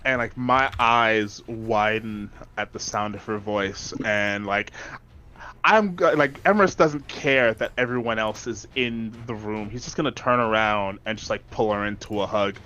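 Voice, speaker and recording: A man's voice: fairly low pitch, measured pace, bright, forceful tone, highs cut off, slight background noise. A man playing a tabletop game, describing a character in a casual, emphatic way with many fillers. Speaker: player.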